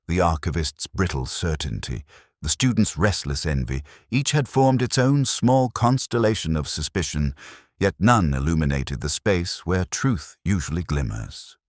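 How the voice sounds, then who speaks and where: A man playing a brooding, weary police detective, narrating a mystery in the first person with solemn, measured, literary phrasing. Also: very deep voice, no room sound, clean studio sound.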